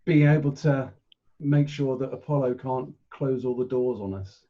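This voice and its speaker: A man's voice: mid-range voice, moderate pace. A man talking casually as a tabletop game player, on a slightly lo-fi recording.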